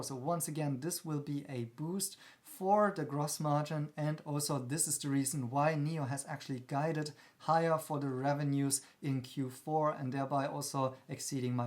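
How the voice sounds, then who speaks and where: A man hosting an investment channel, giving analytical commentary in an explanatory manner with frequent hesitations. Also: a little high. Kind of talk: analytical commentary on company earnings.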